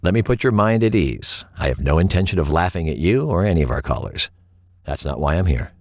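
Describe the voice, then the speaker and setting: An actor playing an earnest, courteous host of a late-night paranormal radio show, speaking in a measured, solemn and reassuring way. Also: male voice, sits low, animated, no echo, clean studio sound. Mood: attentive, sympathetic. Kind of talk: late-night radio monologue and call-in hosting.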